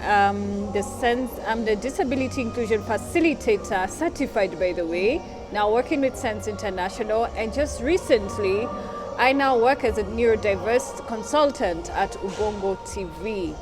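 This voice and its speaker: A woman from Nairobi, answering interview questions in an articulate, measured and earnest way. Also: mid-range voice, music in the background. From Kenya, Nairobi.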